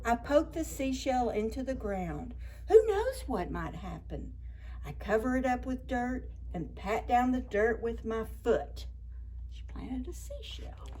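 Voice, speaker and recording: A woman hosting children's story time, reading picture books expressively with drawn-out words and chatty asides. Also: a little high, very animated, darkish tone. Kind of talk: animated picture book read-aloud for preschoolers.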